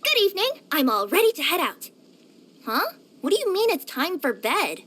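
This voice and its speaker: A woman voicing a cheerful, eager young female cat yokai courier in a video game, with an expressive, cutesy delivery and little hesitant murmurs. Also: very high voice, moderate pace, heavily acted. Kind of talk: scripted video game character voice lines.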